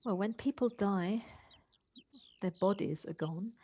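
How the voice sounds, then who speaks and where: A woman playing a gentle, wistful city dweller in an audio drama, speaking in a halting, hesitant way. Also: mid-range voice. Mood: gentle, consoling. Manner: halting, hesitant, repeats words. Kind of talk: naturalistic audio drama acting.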